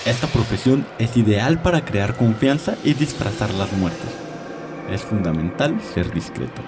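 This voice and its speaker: Prominent music runs behind a low male voice. an elderly, cunning vampire posing as a physician in an audio story. He speaks with measured, courteous wisdom and cold, quiet menace. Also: animated. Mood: courtly, confiding. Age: older. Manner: courteous, measured, wise-sounding, coldly menacing. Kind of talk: dramatized audio story acting.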